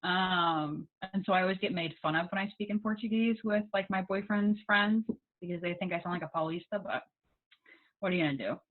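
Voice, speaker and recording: A woman's voice: fairly low pitch, fairly quick. A young American woman, a guest English teaching assistant, chatting casually with students and filling her talk with ums and likes. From United States. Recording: tinny phone-like video call audio.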